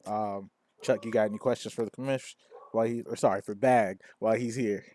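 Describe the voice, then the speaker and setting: A man's voice: fairly low pitch, fairly even intonation, brisk, warm tone, a little hiss or hum. A man hosting a podcast in casual chat, informal, slangy and agreeable. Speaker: podcast host.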